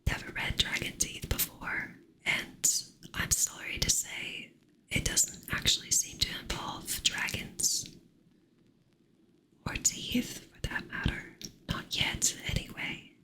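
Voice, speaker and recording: Slow whispering woman on a stream. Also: rough recording. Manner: soft whisper, playful with chat; slow, drawn-out delivery. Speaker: ASMR streamer. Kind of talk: whispered ASMR live-stream chat.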